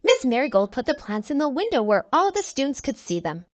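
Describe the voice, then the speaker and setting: A woman's voice: sits high, sing-song, fairly quick, no room sound. A woman narrating a children's book aloud, expressively and with careful enunciation. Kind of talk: read-aloud storytelling for young children.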